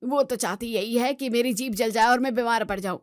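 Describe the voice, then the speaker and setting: Actress as irritable elderly father-in-law, suspicious, grumbling, higher pitch, dry sound, family drama. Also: fairly quick, dry recording, natural voice. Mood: irritated. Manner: grumbling, accusatory. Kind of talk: Hindi family drama voice acting.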